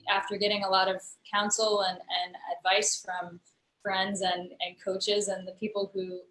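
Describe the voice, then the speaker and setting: A woman talks through her ideas in a thoughtful, rambling way, with plenty of ums and restarts. Her voice sits at an ordinary middle pitch. She comes through a video call from a roomy, echoey space. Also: flat delivery, unhurried, a bit bright. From United States. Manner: thoughtful, explains at length with many fillers. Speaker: guest, digital storyteller and musician. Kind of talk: casual interview conversation.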